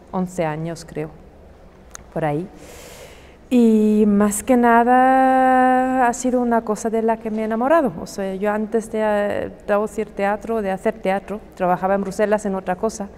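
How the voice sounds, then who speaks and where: A woman's voice: medium pitch, a little mellow, big-room sound, a little hiss or hum. A woman, a theatre translator answering in a chatty, self-correcting way. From Germany. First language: German. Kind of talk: interview answer.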